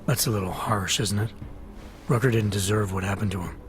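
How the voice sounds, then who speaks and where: An actor playing a terse, weary male agent who speaks dryly and low-key. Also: a little fast, natural voice. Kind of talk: video game dialogue. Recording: loud music behind.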